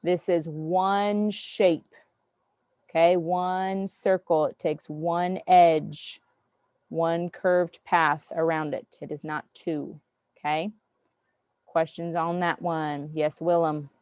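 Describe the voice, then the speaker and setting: Slow, patient teacher. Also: female voice, on the low side, level tone, a little mellow, clean tone, dry recording. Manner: patient, step-by-step counting aloud. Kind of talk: online math class review. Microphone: video call.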